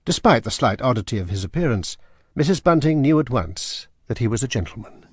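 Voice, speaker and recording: A man narrating a period radio drama in a measured, grave storytelling manner. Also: medium pitch, sing-song, slightly rough, dry recording, clean studio sound.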